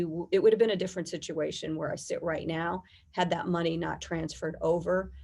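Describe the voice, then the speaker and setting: A middle-aged woman with an American accent, giving measured, detailed explanations in a conversational interview. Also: fairly low pitch, creaky, darkish tone. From United States. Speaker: guest, air traffic controllers' union executive.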